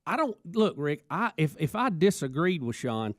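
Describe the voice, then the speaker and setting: A man's voice: fairly high pitch, big pitch swings, moderate pace, dry recording, clean studio sound. A man co-hosting call-in radio, talking in an earnest, opinionated way and repeating words as he goes. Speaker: radio show co-host.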